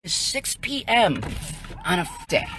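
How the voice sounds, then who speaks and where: A woman's voice: mid-range voice, animated, a little slow. Indignant American woman reporting live. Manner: indignant, emphatic. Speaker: citizen reporter livestreaming. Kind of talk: live on-scene commentary to camera. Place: outdoors on a residential street.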